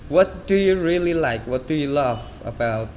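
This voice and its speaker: A male interviewer on a headset asking hesitant questions over a video call, with halting restarts. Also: on the high side, lively intonation, darkish tone, slight background noise. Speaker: interviewer, host.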